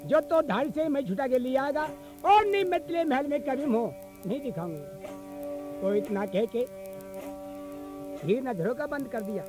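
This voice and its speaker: A man's voice: very high voice, animated, measured pace, pauses often, music in the background, low-quality recording. A man performing a folk tale as a traditional storyteller, moving between sung verse and spoken narration. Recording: field cassette recording with instrument accompaniment. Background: musical instrument accompaniment.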